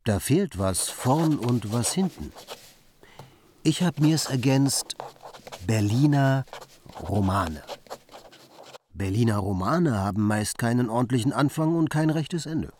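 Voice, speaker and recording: Young bohemian man, wry, musing, mid-pitched, literary radio monologue, slight background noise. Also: expressive, slow pace, pauses often.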